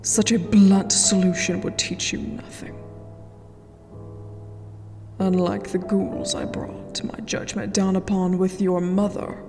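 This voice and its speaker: A woman playing a cold, stern vampire queen in a fantasy ASMR roleplay, regal and commanding. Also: mid-range voice. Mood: cold, menacing. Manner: slow, commanding, breathy whispered delivery. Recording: long hall reverb, music underneath.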